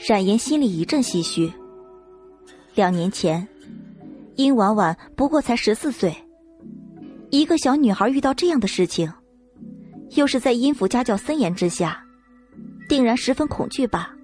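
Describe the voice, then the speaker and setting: A woman's voice: fairly high pitch, expressive, normal speed, pauses often, darkish tone, faint music behind. A woman narrating a scripted novel as an audiobook reader.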